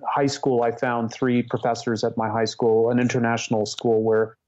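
A man in a podcast interview speaks candidly about his own past, earnest and often pausing to find the right words. His voice sits in a middle register. The recording is dull and lo-fi, with the top end rolled off. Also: mid-range voice, little pitch movement, darkish tone. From Hong Kong. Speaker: podcast guest, psychotherapist and Buddhist teacher. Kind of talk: reflective personal storytelling.

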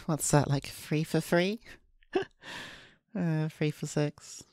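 Female streamer narrating a visual novel: deep for a woman, flat and subdued, dry close-mic sound. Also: slow pace, no echo. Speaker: VTuber streamer. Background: faint game music.

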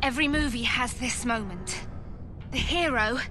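Actress as a high-voiced, gracious film star. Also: female voice, moderate pace, lightly acted. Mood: encouraging. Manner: gracious, earnest, persuasive. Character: poised, encouraging female film star, gracious and persuasive. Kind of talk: video game cutscene acting. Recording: music behind the voice.

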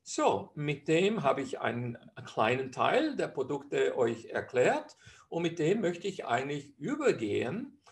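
A man's voice: a little high, very animated, measured pace. A man from Switzerland with a Swiss German accent, a physician presenting a webinar lecture over slides in a chatty way with frequent hesitations.

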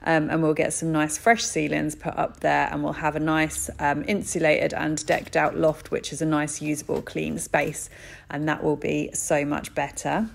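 A woman's voice: deep voice, flat delivery, normal speed, vocal fry. A woman chatting casually with many "um"s while walking through a bare, empty house, filming on her phone. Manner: chatty, frequent "um" and "so". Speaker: vlogger touring a house renovation. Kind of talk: casual walkthrough narration. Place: empty stripped-out house, indoors.

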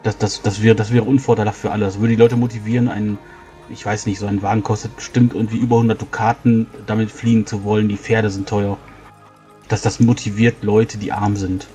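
Man, mellow dark tone, rambling explanations, tabletop role-player, light music behind. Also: fairly low pitch, level tone, brisk. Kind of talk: in-character improvised dialogue.

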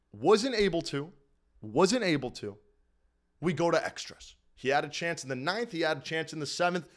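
A young man in his twenties hosting a live-stream postgame recap from a small room at home, hyped and repetitive, often calling out to everybody in the chat. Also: high voice. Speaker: sports fan streamer host. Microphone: desk mic in front of the face.

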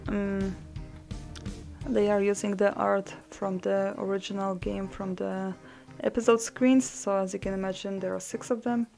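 A young woman talks through a game with a relaxed, dry wit, pausing with ums as she thinks aloud. Her voice carries a gravelly, fry-laden edge at an ordinary pitch. Game music plays clearly beneath her desk-mic commentary. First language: Polish. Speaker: mod developer showing a game. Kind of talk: casual gameplay commentary.

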